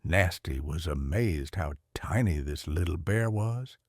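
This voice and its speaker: A man with a Southern US accent reading a children's storybook aloud in a folksy, expressive way. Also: deep voice, unhurried, dry recording, studio quality, faint music behind. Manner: folksy, animated.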